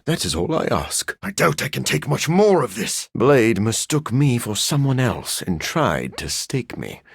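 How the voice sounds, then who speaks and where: Morbius, gravelly brooding vampire scientist. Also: male voice, lowish voice, no room sound, heavily acted. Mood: strained, defiant. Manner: dry, erudite, theatrical, sardonic. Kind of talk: video game character voice lines.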